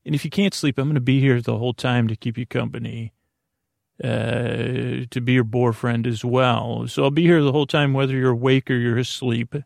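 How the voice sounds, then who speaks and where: A man with a creaky voice hosting a sleep podcast, delivering a meandering, halting bedtime monologue that trails off into tangents. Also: medium pitch, fairly even intonation, darkish tone, no echo, clean studio sound. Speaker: podcast host.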